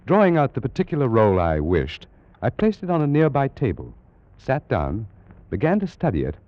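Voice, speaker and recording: Scholarly egyptologist telling a spooky old radio tale, muffled recording, really animated delivery. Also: male voice, medium pitch, moderate pace, dry recording. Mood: measured, intrigued. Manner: formal, precise, dramatic storytelling. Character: scholarly male British Egyptologist recounting an eerie adventure. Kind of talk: 1940s radio drama narration.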